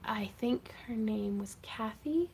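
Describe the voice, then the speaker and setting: A woman's voice: fairly high pitch, slow, a little mellow, slight background noise. Chatty woman hosting a craft livestream. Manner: chatty, laughs often, greets viewers. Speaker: craft channel host. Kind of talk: casual livestream chat.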